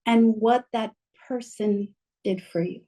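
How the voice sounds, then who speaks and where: A woman with a mellow, relaxed voice speaks slowly and gently. She guides her listeners through a calming reflection. She is heard over a video call. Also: fairly high pitch. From mother from Mexico, bilingual. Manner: gentle, slow, soothing guidance. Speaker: guest speaker.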